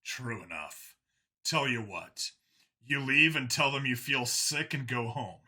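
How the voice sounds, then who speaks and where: A man's voice: medium pitch, takes it slowly, bright, forceful tone, heavily acted. A man voicing a tough human undercover cop in a sci-fi audiobook, wry and casually threatening. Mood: firm.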